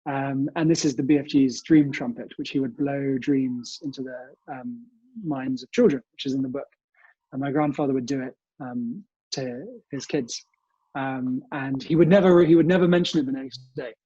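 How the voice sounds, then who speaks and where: A man's voice: mid-range voice, steady tone, normal speed, mellow, husky, no echo, dull audio. A young British man speaking politely as an interview guest, telling family memories in a conversational way. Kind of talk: conversational interview answers, reminiscing. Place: remote recording from home.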